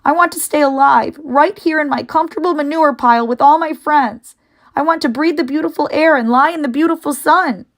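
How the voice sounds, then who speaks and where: A woman acts out a young boy pig from a storybook, childish and easily panicked. The voice is pitched very high and wails and pleads in a tearful, hysterical way. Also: fairly quick, natural voice. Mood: tearful, wailing.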